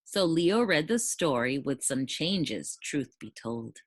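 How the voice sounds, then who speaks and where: A woman giving an expressive read-aloud narration of a children's storybook. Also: sits low, slow, no room sound, studio quality. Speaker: storybook reader.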